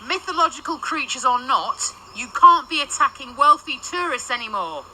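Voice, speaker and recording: A woman's voice: sits high, animated, moderate pace, heavily acted, rough recording. A woman with a British accent playing an irreverent, wisecracking ghost in a naturalistic radio drama. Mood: firm, flippant. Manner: flippant, sardonic, chatty. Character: irreverent, wisecracking female ghost sent to negotiate. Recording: loud ambient noise behind.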